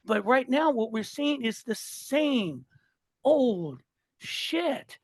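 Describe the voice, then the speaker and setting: A man's voice: very high voice, big pitch swings, drawn-out words, no room sound, clean studio sound. Fired-up man in his sixties. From Southern California. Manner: fired up, repeats and restarts words. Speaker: listener guest. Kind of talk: casual political chat.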